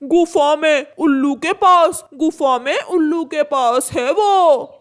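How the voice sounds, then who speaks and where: A grumpy thieving crow from a children's cartoon performed by a woman. The voice is fairly high, with a complaining, stammering manner that sounds guilty. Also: slow. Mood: panicked. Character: shifty, grumpy crow thief caught out. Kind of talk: Hindi children's cartoon dialogue.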